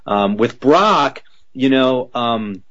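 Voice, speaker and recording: A man who works as a voice actor, answering interview questions in a lively way and slipping into character voices as he tells stories. His voice is slightly deep. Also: extremely slow, highs cut off. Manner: animated, drops into character voices while telling stories.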